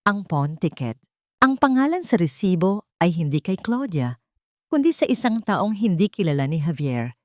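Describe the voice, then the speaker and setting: A woman with a fairly low voice reads a scripted audio drama, telling it in a steady, dramatic way. She is close on the mic and the sound is dry, with no room sound. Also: moderate pace, dry recording. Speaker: narrator.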